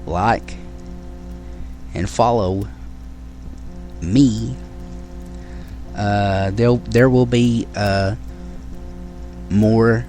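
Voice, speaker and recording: A man hosts his own casual show in a low voice, speaking very slowly. He rambles and restarts, with plenty of fillers. Faint music plays underneath. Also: darkish tone. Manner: friendly sign-off to listeners. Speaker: podcast host. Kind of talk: casual solo show talk.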